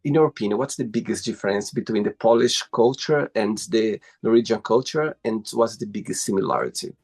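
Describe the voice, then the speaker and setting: A man's voice: lowish voice, a little fast, darkish tone, husky. A man hosting an interview, asking friendly questions and reacting with enthusiasm. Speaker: interview host. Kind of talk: casual interview.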